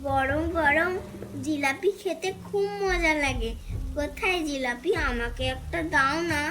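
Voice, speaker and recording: A woman's voice: sits high, measured pace, pushed and bright. A woman voicing a small, hungry village boy in a cartoon folk tale, pleading and complaining. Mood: eager.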